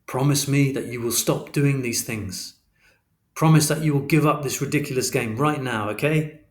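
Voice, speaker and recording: A man voicing an exasperated middle-aged father who scolds and shouts. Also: medium pitch, moderate pace, close to an everyday voice. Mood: insistent. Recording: roomy echo.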